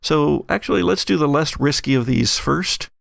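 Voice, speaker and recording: A man narrating as game master of a tabletop roleplaying game, explaining things in a halting, carefully qualified way. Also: on the high side, creaky, fairly bright tone, no room sound, studio quality.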